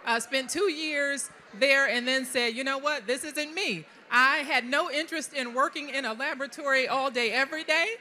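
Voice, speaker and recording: A middle-aged woman from Detroit, a city council member, speaking in an earnest, measured way on a conference panel into a handheld mic. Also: high voice, pushed and bright, slight background noise. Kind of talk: panel discussion answers. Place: conference stage, indoors.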